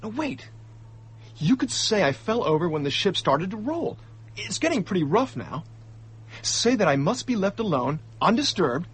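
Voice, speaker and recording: A young male diplomat in a radio drama, indignant and hot-headed. His voice sits fairly high with a lively swing and a touch of husk. He talks in excitable slang. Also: normal speed, slightly rough, heavily acted. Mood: determined, indignant.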